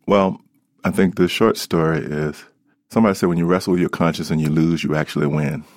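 A middle-aged American man from Alabama reflecting in an interview as a doctor, speaking in a measured, articulate way. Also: low-pitched, steady tone, dry recording, studio quality. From Alabama, United States.

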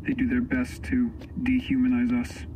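A guarded male guide played by a man in an audio drama. He speaks briskly and officially in a very even, mid-pitched voice, with clear vehicle-like noise behind. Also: natural voice, low-quality recording. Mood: guarded, cool.

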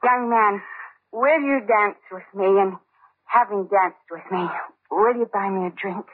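A woman's voice: medium pitch, measured pace, close to an everyday voice. An actress playing a tipsy, pleading, flighty aging woman barfly in old-time radio drama style. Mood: flirtatious, giddy. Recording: muffled old radio broadcast recording.

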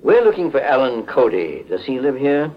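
An actor playing a calm, probing, authoritative private investigator in a 1940s radio drama, measured, formal and stern. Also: male voice, mid-range voice, soft background music, natural voice. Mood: formal, businesslike. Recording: dull old 1940s radio broadcast.

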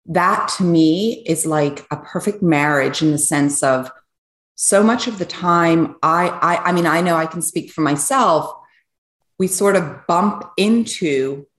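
Low-voiced middle-aged woman, echoey room. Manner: enthusiastic, rambling questions. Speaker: podcast co-host. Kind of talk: podcast interview.

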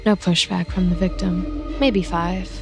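Twitchy young woman office worker with dark urges, anxious rambling, audio drama, clear music bed. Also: lowish voice, steady tone, a little slow. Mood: uneasy muttering. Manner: nervous, rambling, muttering to herself. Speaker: actress. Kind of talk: naturalistic audio drama acting. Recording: music underneath.

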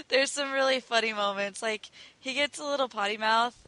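A woman's voice: sits high, big pitch swings, moderate pace, sharp tone, no echo. A woman co-hosting a podcast in casual chat, laughing often and trailing off mid-sentence. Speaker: podcast co-host and editor.

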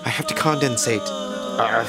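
A man playing an earnest small-town radio host in a scripted fiction podcast, narrating in a theatrical, expressive way. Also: mid-range voice, normal speed, natural voice. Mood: confused, struggling.

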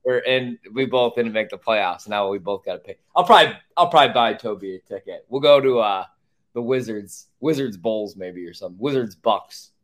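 A man's voice: on the low side, a little fast. A man co-hosting a casual sports podcast, talking in a blunt, opinionated way and swearing freely.

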